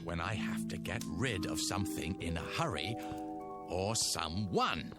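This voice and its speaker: An actor playing an excitable, earnest playwright husband in a radio drama, pleading and stammering with dramatic emphasis. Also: male voice, low voice, measured pace, music in the background. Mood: quiet, confiding.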